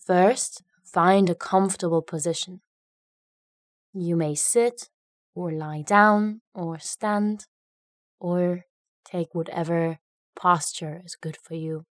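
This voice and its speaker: A woman leads a guided meditation at a very slow pace, with long pauses between short phrases. Her voice sits slightly low and she speaks gently. The recording is dry and close, with little room sound. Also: no echo.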